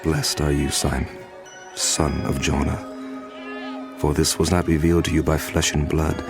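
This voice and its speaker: A man's voice: very deep voice, flat delivery, normal speed, creaky, music in the background. An actor playing a grave, commanding male teacher in a dramatized scripture recording, speaking with solemn, emphatic delivery. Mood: solemn, approving. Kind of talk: dramatized scripture audio acting.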